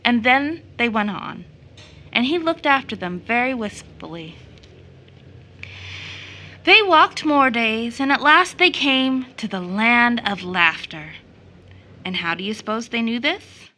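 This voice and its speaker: A woman's voice: fairly high pitch, expressive, slow, long pauses, pushed and bright, a little hiss or hum, exaggerated voice. A woman acting as a storyteller in an audio drama, narrating a children's fairy tale expressively. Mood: storytelling.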